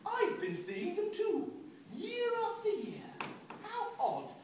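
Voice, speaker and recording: A man voicing a calm, cheerful aunt in a light, breezy falsetto, heard from a distant mic in an echoey, noisy hall. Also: slow, heavily acted.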